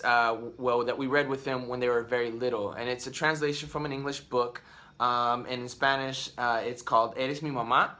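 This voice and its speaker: Bright, crisp man in his 30s, vlog host and dad, chatty and rambling, full of ums. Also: medium pitch. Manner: rambling, lots of ums and restarts. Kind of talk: casual talk to camera. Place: at home.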